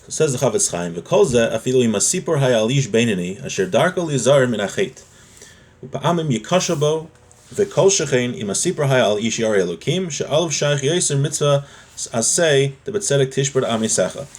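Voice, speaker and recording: A man's voice: mid-range voice, lively intonation, fairly quick, a little mellow. A man giving an informal religious text lesson as a teacher, explaining and restating points with everyday examples.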